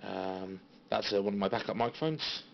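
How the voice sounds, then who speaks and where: A British man talks in a low, creaky voice, giving an informal show-and-tell in a halting, understated way. The sound is a dull phone recording with faint noise behind him. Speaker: CB radio hobbyist giving a tour of equipment. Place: small radio room at home. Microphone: handheld phone.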